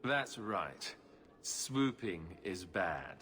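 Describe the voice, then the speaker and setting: A good-humoured king with a lowish voice, ringing in a big hall. He talks casually and drily, joking through his weariness in a fantasy game. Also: very animated, extremely slow, a bit bright, a little hiss or hum, heavily acted. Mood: dry, concerned. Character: wry, good-humoured king, weary but hopeful. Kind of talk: video game voice acting.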